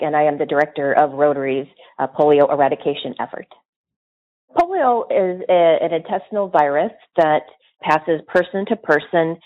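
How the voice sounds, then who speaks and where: A woman directing a health program, explaining in an interview with small restarts. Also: low-pitched. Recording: tinny phone-like line.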